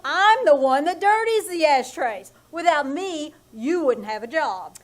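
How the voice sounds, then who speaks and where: A woman's voice: sits high, lively intonation, a little slow. A woman playing a wry, sarcastic husband in a live reenactment of an old-time radio comedy, delivering dry quips. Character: wry husband Ace, a dry-witted man. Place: small theater stage.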